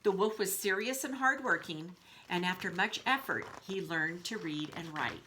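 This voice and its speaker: Bright-voiced woman reading to children. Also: on the low side, expressive, normal speed, slight background noise, rough recording. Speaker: school librarian reading aloud to pupils. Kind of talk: children's storybook read-aloud.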